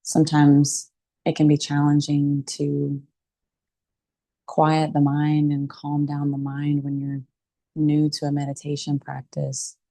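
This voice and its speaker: A woman teaching a guided meditation in a calm, soothing, unhurried way with pauses between phrases. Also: low voice, dry recording.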